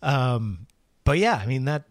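A man hosting an interview, supportive of his guest and halting, with many fillers and repeated words. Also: medium pitch, big pitch swings, no room sound. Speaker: podcast host. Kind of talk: interview conversation.

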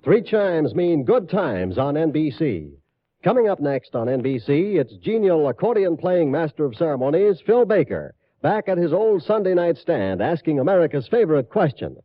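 A man's voice: a little high, sing-song, normal speed, no room sound, soft background music. A man reading an upbeat, salesmanlike 1950s radio network promo. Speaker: network announcer. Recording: dry, dull 1950s radio recording.

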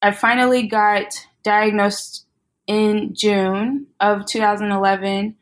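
Flat-voiced, matter-of-fact woman guest. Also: mid-range voice. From Texas. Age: 30s. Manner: calm, measured, matter-of-fact. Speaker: podcast guest. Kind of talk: interview storytelling.

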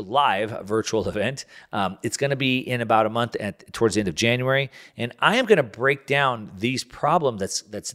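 A man's voice: mid-range voice, fairly bright tone. An American man hosting his podcast solo, talking in a conversational, earnest way with fillers and restarts. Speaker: podcast host. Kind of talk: casual monologue, solo show.

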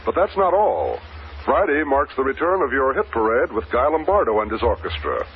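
A man's voice: medium pitch, sing-song, normal speed. An American man reading a polished, promotional announcement in 1950s network radio style. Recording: muffled, faintly noisy old radio recording.

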